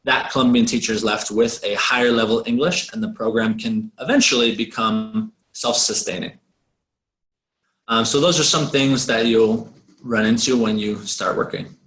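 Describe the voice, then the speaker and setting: A man's voice: medium pitch, fairly bright tone. A man talks new volunteers through what to expect in an online briefing. His voice has a roomy echo around it, and he speaks casually with loose, hedging phrases. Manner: loose and conversational, hedging words. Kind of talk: webinar briefing.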